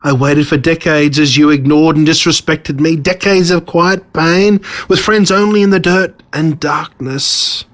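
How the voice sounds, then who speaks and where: A man's voice: a little high, steady tone, a little slow, few pauses, a bit bright, voice pushed up. A man voicing a smug, menacing villain in a storybook reading, pompous and theatrical in his delivery. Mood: menacing, bitter. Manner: pompous, gloating, dramatic.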